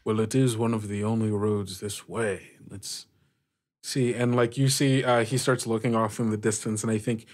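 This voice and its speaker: A man working as game master, improvising scene descriptions for a tabletop game in a halting, informal way. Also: lowish voice, fairly even intonation.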